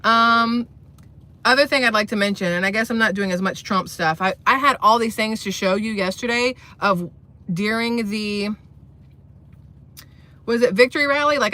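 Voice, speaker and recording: A woman's voice: lowish voice, sharp tone. Blunt, profane woman with a boyish voice. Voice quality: boyish-sounding voice. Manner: blunt, profane, sarcastic, rambling. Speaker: commentary channel host. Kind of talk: rambling political commentary vlog.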